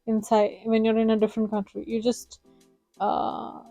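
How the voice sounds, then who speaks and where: Young Hindi-native woman vlogger, higher voice, mellow tone, chatty with fillers. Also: monotone, moderate pace, vocal fry, no room sound, soft background music. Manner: chatty, many "like" fillers, acts out quotes. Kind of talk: casual storytelling to camera.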